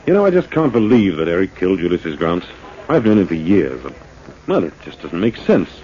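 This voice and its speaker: An actor playing a composed, shrewd man-about-town sleuth in a 1940s radio drama, with a measured, dry, educated delivery. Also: sits low, sing-song, warm tone. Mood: concerned, reflective. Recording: muffled, hissy 1940s radio recording.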